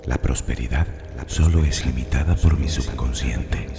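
A man leading a guided meditation in Spanish affirmations, speaking calmly and slowly with soothing pauses over soft music. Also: a bass voice. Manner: calm, slow, soothing delivery with long pauses.

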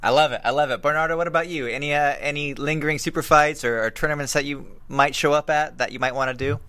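Male interviewer, informal and keen, rapid delivery, forward bright tone, close dry sound. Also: a little high, fairly even intonation, clean tone, no room sound. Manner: informal, enthusiastic. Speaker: podcast host. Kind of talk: casual interview.

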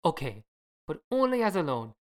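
A woman's voice: deep voice, very animated, normal speed. A woman voicing a charming young Italian man in a put-on Italian accent, talking earnestly.

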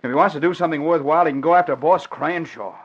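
A man's voice: on the high side, very fast, slightly rough. An actor playing a bitter, despairing man ruined by gambling, in 1940s radio drama style. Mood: defeated, insistent. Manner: defeated, embittered, emphatic. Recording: dull old radio recording.

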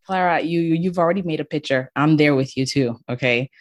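A woman's voice: deep voice, fairly quick. A woman hosting an interview show, talking in an effusive, emphatic way to her guest. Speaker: show host.

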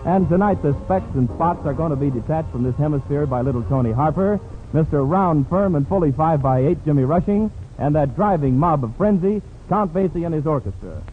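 Male radio announcer, 1940s jive style, theatrical delivery, raised pitch, narrow lo-fi vintage broadcast sound. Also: expressive, brisk, pauses often, faint music behind. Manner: showy, theatrical jive patter.

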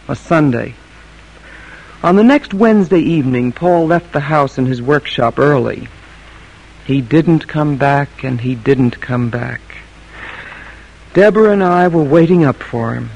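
A woman's voice: deep voice, darkish tone, lo-fi sound, full character voice. An actress playing an anxious, strong-willed, middle-aged colonial wife and mother, speaking with emphatic repetition in an old-time radio drama. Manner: emphatic, repeating phrases for weight.

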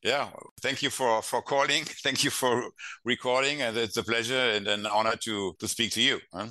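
A man's voice: mid-range voice, fairly even intonation, normal speed, pushed and bright, no room sound, rough recording. A man in his sixties from Germany with a German accent, a guest in a casual interview who talks cheerfully with many fillers and restarts. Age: 60s. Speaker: guest, managing partner of an IP firm.

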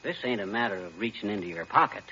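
An American actor playing a blustering, folksy, self-important husband in 1940s radio comedy, boastful and defensive in slangy speech. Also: male voice, on the low side, expressive, fast talker, pushed and bright, breathy edge, heavily acted. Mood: earnest. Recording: dull, lo-fi 1940s radio recording.

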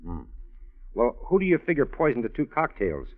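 An actor playing an inquisitive newspaper reporter with a probing, questioning manner, in a 1940s-style radio drama. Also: male voice, mid-range voice, sing-song, normal speed, close to an everyday voice. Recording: muffled, tinny vintage radio recording.

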